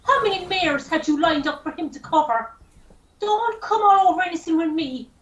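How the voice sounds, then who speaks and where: A woman's voice: very high-pitched, animated, normal speed, far from the mic. A woman playing an indignant, scornful horse owner in a radio play. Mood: indignant, accusing. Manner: scornful, imperious. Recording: muffled, echoey, off-mic recording.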